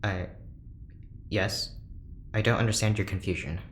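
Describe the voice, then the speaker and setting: Awkward ship's doctor, low voice, hesitant, formal, literal, sci-fi audio drama, roomy echo. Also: steady tone, unhurried. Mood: defensive. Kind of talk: audio drama acting, naturalistic dialogue. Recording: roomy echo, faint background noise.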